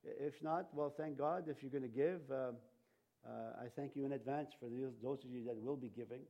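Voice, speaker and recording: A man's voice: mid-range voice, a little fast, mellow. A man preaching as a pastor, whose first language was Cree and Ojibwe, talking to his church in a rambling way with many fillers and restarts. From Canada. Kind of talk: sermon.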